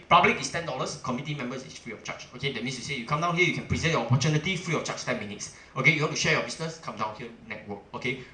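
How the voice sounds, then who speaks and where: A middle-aged man with a Singaporean English accent speaks to a seminar audience, rushing his words and pushing each point with a bright, forceful voice. He peppers his talk with "okay" and "ah" and keeps checking with the room. His voice rings out in an echoey room. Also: medium pitch. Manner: emphatic, asks the audience questions, frequent "okay" and "ah" fillers. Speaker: chamber president giving a seminar.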